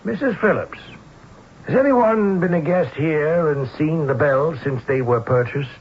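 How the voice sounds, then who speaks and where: An actor playing an urbane, dry-witted scholar-sleuth in a vintage radio mystery, erudite, wry and courteously self-assured. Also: male voice, fairly high pitch, a little slow, lightly acted. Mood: probing, courteous. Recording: muffled, hissy old radio broadcast.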